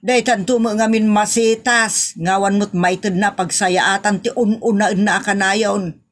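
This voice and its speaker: A woman's voice: medium pitch, fairly even intonation, measured pace, close to an everyday voice. A woman with an Ilocano Filipino accent acting a nagging, exasperated rural wife and mother in a comedy audio drama, complaining in exclamations. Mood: reflective.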